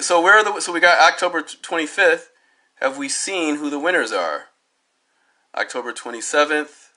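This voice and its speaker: A hoarse man with a cold, rambling through a self-recorded phone commentary with lots of fillers and restarts while he clicks through web pages. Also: a little high, sharp tone. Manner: tangential, many fillers and restarts. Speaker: DJ giving his side of a dispute. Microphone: phone held up at arm's length.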